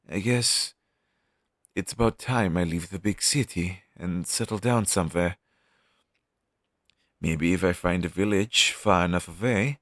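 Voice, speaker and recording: Male actor as crow demon, ex-familiar: lowish, unhurried, conversational, friendly but world-weary, for a listener-addressed audio roleplay. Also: no room sound, studio quality. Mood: dejected. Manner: conversational, hesitant, with sighs and pauses. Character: down-on-his-luck male crow demon, former witch's familiar, wistful and friendly.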